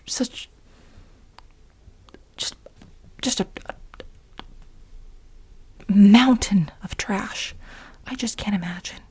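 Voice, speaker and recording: An extremely breathy, whispered voice of an obsessive woman , played by an ASMR performer who pines for her married boss. She is dramatic and insistent, often repeating herself haltingly. The delivery is soft-spoken ASMR role play. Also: mid-range voice, full character voice.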